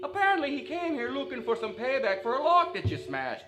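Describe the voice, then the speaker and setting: A man's voice: very high-pitched, wildly swooping pitch, brisk, sharp tone, slight background noise, full character voice. A man playing a glib, condescending police sergeant in 1940s-style radio comedy. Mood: breezy, callous. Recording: music behind the voice.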